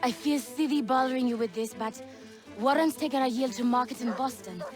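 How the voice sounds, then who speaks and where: Lady doing a nice polite settler woman for a game, kinda high gentle voice, music behind. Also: a little fast, lightly acted. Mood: grateful, content. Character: cheerful, friendly colonial settler woman. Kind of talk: video game cutscene acting.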